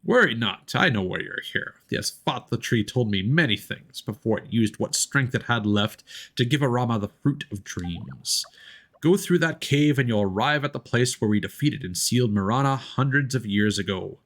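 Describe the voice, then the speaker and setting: A man voicing a wise, gentle old forest spirit guardian from a video game, with formal, measured speech. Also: medium pitch, lively intonation. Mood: solemn.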